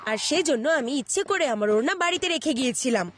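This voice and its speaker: Lively strict cartoon mom. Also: female voice, sits high, fast talker, background noise. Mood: stern. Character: strict protective mother. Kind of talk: Bengali cartoon dub.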